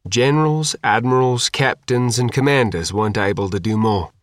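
A calm male flotilla commodore, as a man narrating an audiobook plays him. He is authoritative yet easygoing and speaks directly and informally. The recording is dry and close. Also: mid-range voice, moderate pace, no room sound, close to an everyday voice. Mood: calm, commanding. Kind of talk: audiobook character dialogue.

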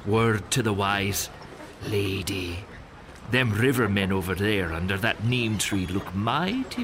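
Over loud background noise comes a gruff mercenary riverboat captain. His voice sits low, and he talks in rough rustic dialect. He is crude, sneering and menacing in the style of a full-cast audio drama.

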